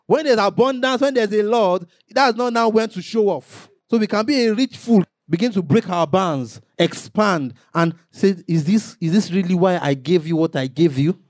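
A man's voice: high voice, normal speed, few pauses, no room sound. A man, a pastor preaching a sermon, rising into emphatic bursts and acting out little quotes.

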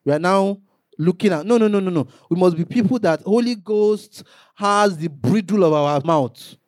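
Man preaching, fairly high voice. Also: normal speed, darkish tone, dry recording, clean studio sound. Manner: preaching with rising emphatic bursts and acted quotes. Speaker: pastor. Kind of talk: sermon.

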